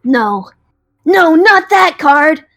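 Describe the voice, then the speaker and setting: Lady doing kiddish dragon, super high whiny voice, bouncy and dramatic, audio drama thing. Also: very animated, very slow, fairly bright tone, full character voice. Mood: flustered, defeated. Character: childish card-playing dragon, hungry, sulky, easily flustered.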